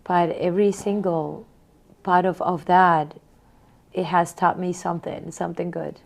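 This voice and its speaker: A woman in her forties from Honduras, answering interview questions in a soft, reflective way with small repeats. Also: fairly low pitch, steady tone, a little slow, creaky. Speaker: guest.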